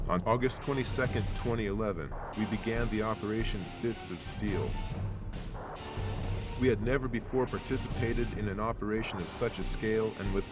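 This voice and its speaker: A man's voice: lowish voice, natural voice. A man playing a soldier who gives a sober, matter-of-fact scripted account of a military operation in a video game. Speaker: mission briefing narrator.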